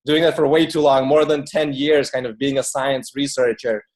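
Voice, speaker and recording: A man's voice: mid-range voice, fairly even intonation, moderate pace, darkish tone. A man originally from Ukraine who moved to the US as a child, talking casually and informally as an interview guest. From born in Ukraine, moved to the US at eight. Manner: informal, filler-heavy with frequent "like". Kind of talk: casual conversational storytelling.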